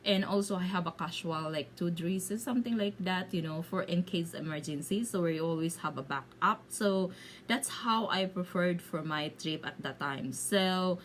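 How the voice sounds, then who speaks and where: A woman from the Philippines with a Bisaya Filipino accent, telling a personal story to viewers in a casual, halting way as she searches for words in limited English. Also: fairly low pitch, slight background noise. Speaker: video blogger.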